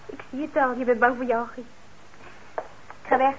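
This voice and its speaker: Casting: actress for chatty teenage sister, high girlish voice, teasing yet caring, natural radio play style, muffled sound. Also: flat delivery, moderate pace, natural voice. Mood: teasing, eager. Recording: muffled old radio drama, faint noise.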